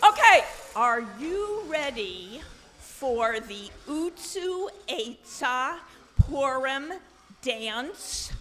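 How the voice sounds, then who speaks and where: A woman leading a children's sing-along at a live show, animated and playful as she prompts her audience. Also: high-pitched, drawn-out words, noisy background. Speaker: children's event song leader. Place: large indoor social hall. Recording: echoey social hall with music.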